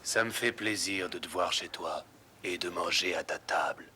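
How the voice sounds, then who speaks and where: Actor as a deep-voiced, authoritative cartoon badger. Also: male voice, normal speed, very noisy, lightly acted. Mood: proud, warm. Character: gruff, fatherly, commanding male badger, older, kindly. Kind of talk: French cartoon dub acting.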